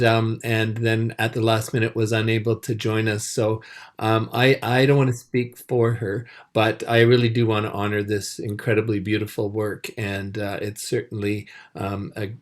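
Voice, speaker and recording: A man hosts an online panel over a video call in a gracious, appreciative way. His voice sits in the middle of the male range. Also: mid-range voice. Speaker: moderator.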